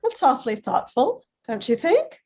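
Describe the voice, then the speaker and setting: An actress playing a wry, protective, gently firm grandmother in a staged play reading. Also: high-pitched, wildly swooping pitch, moderate pace, breathy edge, exaggerated voice.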